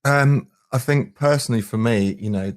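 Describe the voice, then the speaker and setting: A man's voice: medium pitch, moderate pace. A man answering interview questions conversationally as a band's singer and guitarist. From England. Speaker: band frontman, singer and guitarist.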